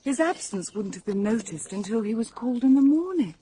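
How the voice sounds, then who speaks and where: A woman's voice: on the high side, expressive, brisk, warm tone, a little hiss or hum, natural voice. An actress playing a polite, composed private secretary in a radio drama, proper and precise in her speech. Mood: composed, subdued.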